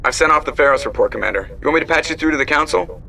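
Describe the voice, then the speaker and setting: Casual pilot guy, rattles it off, sharp edgy voice, game dialogue. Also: a little high, expressive, rapid-fire, gravelly, a little hiss or hum, heavily acted. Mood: brisk. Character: brisk, casual male starship pilot. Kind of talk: video game dialogue acting.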